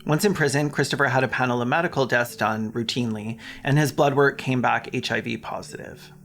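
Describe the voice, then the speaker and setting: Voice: medium pitch, a little fast, a bit bright. A commentator delivering a scripted video essay with casual asides, in a sarcastic, mocking and sweary manner.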